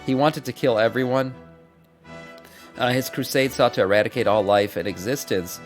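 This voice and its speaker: A man's voice: medium pitch, steady tone, moderate pace, faint music behind, lightly acted. A man reading aloud the game dialogue of a grave, ominous orc in a solemn, portentous manner. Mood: stern. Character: grave, ominous male orc warning of cosmic schemes.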